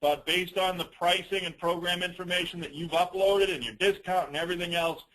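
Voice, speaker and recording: A man presenting a business training call as an informal, rambling software demo, with teasing, self-deprecating asides. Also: high-pitched, fairly even intonation, fairly quick, poor audio.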